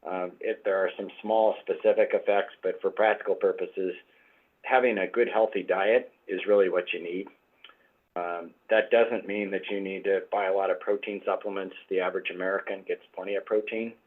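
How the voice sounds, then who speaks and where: A man with a cold-roughened, husky voice, explaining things in a measured way as a guest doctor, with frequent fillers. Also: low voice, little pitch movement. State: hoarse from a cold. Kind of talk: expert explanation in interview. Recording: tinny phone-like line.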